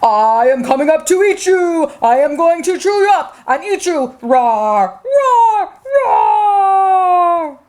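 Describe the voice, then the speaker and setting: A woman's voice: very high voice, very animated, takes it slowly, a little mellow, full character voice. A woman voicing a big, bad, hungry troll from a children's storybook, menacing and given to growly roars. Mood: roaring.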